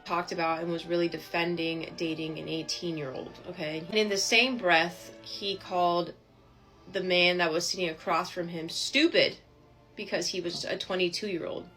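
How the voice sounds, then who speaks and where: A woman's voice: fairly low pitch, fairly even intonation, moderate pace, pushed and bright, faint music behind, rough recording. A woman giving indignant commentary, ending her points with rhetorical checks. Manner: indignant, tags lines with "okay?". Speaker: reaction commentator.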